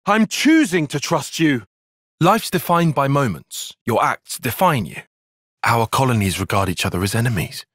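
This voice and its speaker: An actor playing an earnest, cerebral young soldier and tactician in a video game, with a British accent, articulate formal phrasing and a slightly husky voice. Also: male voice, mid-range voice, expressive, moderate pace, a bit bright, dry recording, studio quality. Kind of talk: scripted video game cutscene acting.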